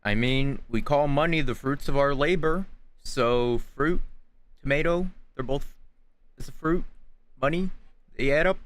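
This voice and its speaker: A man's voice: mid-range voice, measured pace, pauses often. A man giving casual gameplay commentary as a streamer, chatty and full of joking asides. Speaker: game streamer. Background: game music.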